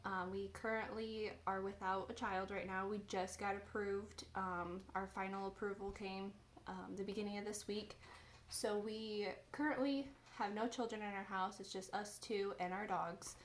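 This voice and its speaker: A woman in her twenties from the US Midwest, chatting casually on her vlog and filling her pauses with ums. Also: mid-range voice, fairly even intonation, a little hiss or hum. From Indiana, USA. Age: 20s. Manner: chatty, many ums and restarts. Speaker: vlogger. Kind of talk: casual chat.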